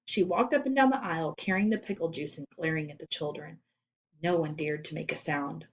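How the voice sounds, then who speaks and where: A woman reading children's fiction aloud as expressive scripted narration. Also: sits low, brisk, lo-fi sound. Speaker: storybook reader.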